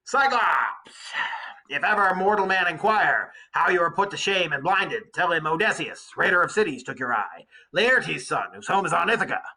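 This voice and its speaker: A man voicing a proud, defiant Greek warrior captain in an epic storybook reading, declaiming loud, boastful taunts. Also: a little high, animated, moderate pace, creaky, husky, voice pushed up, full character voice, low-quality recording. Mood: boastful, furious.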